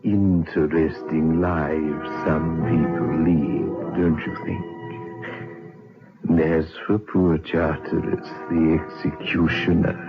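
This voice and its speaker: Male horror host, low drawl, unhurried, wry and macabre, muffled old radio recording with music.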